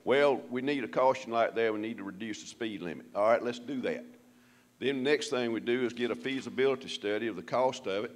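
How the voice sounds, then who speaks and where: A man's voice: mid-range voice, a little fast. A man at a workshop lays out step-by-step advice in an emphatic, practical way. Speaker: workshop participant. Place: conference room.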